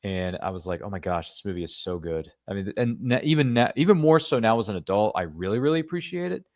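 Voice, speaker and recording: A man's voice: on the low side, lively intonation, fast talker, darkish tone, no room sound. A man hosting a podcast interview, chatting casually and rambling with playful asides. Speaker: podcast host.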